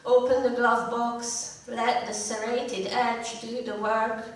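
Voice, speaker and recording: A middle-aged woman with a slightly croaky voice, reading her poetry in a measured, deliberate way at a live event. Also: a little high, flat delivery. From Hungary. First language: Hungarian. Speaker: poet. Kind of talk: live poetry reading with short spoken introductions. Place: indoor live event room. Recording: echoey room, distant mic.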